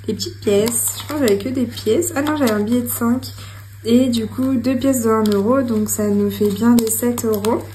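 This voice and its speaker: A young woman with a slightly high, mellow voice talks slowly and chattily to her viewers, pausing on lots of fillers and giggling now and then. She sounds like she's at home, with faint household noise in the background. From France. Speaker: budgeting channel host. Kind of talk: casual talk to camera while writing. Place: home room with her cat.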